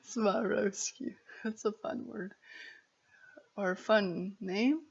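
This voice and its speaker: American lady vlogging, really slow n halting w a stutter, kinda giggly, muffled lo-fi sound. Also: mid-range voice, gravelly, husky. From Arizona, United States. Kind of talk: casual chat to camera.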